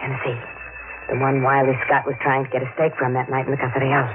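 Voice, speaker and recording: A woman's voice: rumbling low, completely flat delivery, fast. A woman playing a cold, ruthless, bossy femme fatale with curt commands, in 1950s radio style. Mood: cool, scheming. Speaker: actress in radio crime drama. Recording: muffled old radio broadcast with music.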